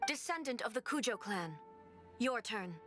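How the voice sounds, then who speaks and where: A woman's voice: a little high, lively intonation, normal speed, close to an everyday voice. A woman voicing a cold imperious ruler. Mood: stern. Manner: curt, imperious. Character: stern regal female ruler, cold and commanding. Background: game music.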